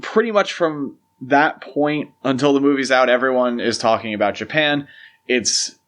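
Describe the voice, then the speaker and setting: A man's voice: medium pitch, moderate pace, a bit bright. A man co-hosting a podcast, discussing films in a conversational, explanatory way. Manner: conversational, explanatory, uses "like" and "you know" often. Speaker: podcast co-host. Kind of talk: casual film discussion podcast.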